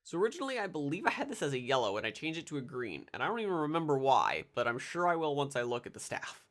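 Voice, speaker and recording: A man's voice: on the high side, sing-song, quick pace, fairly bright tone. A congested young man with a nasal voice, rambling casually through anime commentary with lots of fillers and restarts. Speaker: anime video reviewer.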